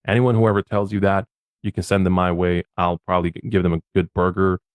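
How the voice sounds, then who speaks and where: A man's voice: low voice, level tone, fast talker, no room sound. A man from Bosnia, a podcast guest, gives social media advice in a casual, emphatic way and often repeats his words.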